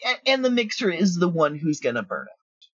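A woman's voice: lowish voice, big pitch swings, normal speed, a bit bright, husky. A woman speaking casually as an interview guest, frank, practical and emphatic. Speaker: guest, audio drama creator. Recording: roomy, dull, lo-fi audio.

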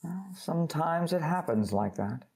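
A calm Jedi husband in a space-fantasy audio drama. His voice is mellow and gravelly with a husky edge, unhurried and quietly teasing. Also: a little high, heavily acted, low-quality recording. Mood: calm, probing.

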